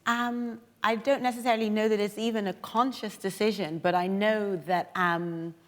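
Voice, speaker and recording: A woman's voice: mid-range voice, fairly even intonation, moderate pace. A woman reflecting informally as a panellist. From Ireland. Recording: echoing hall with long reverb.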